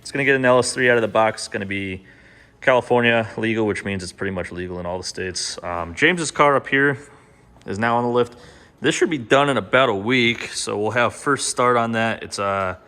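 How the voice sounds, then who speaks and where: A man's voice: medium pitch, a little fast, bright, forceful tone. A man hosting a car build vlog, talking casually and off the cuff with slang and easy swearing. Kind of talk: casual, unscripted vlog talk to camera. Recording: music playing behind the voice. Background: background music.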